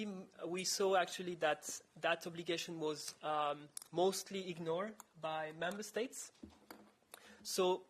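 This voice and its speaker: A man's voice: high-pitched, a bit bright. A man presenting a project on a conference panel, hesitant with many fillers and restarts.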